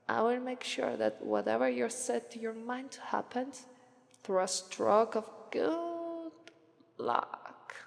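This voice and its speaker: A woman with an Italian accent voicing a languid, aloof, coolly persuasive sloth demon in a slow deadpan ASMR roleplay monologue. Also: a little high, creaky, exaggerated voice. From Italy. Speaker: voice actress. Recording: long reverberant echo on voice.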